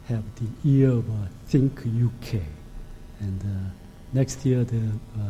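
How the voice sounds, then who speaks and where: A middle-aged man from Korea with a Korean accent, an ambassador giving a formal lecture in a halting, soft-spoken way. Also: on the low side, a little hiss or hum, poor audio. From South Korea. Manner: halting, with frequent hesitations.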